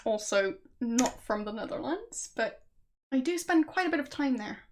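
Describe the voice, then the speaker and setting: A woman's voice: a little high, lively intonation, moderate pace. A young woman from Austria with an Austrian German accent, chatting casually with her stream viewers and laughing often. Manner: chatty, laughs often, answers chat guesses.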